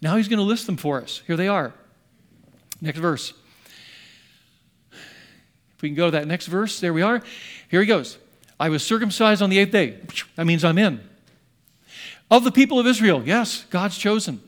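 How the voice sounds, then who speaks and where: High-voiced animated preacher. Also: male voice, normal speed, pauses often. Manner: animated, voices the apostle in first person with rising emphasis. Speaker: pastor. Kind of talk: sermon.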